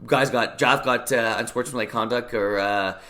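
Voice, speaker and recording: A young man co-hosting a casual sports recap, talking in a relaxed, halting way with lots of fillers. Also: medium pitch. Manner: relaxed, hesitant with many fillers. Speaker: co-host.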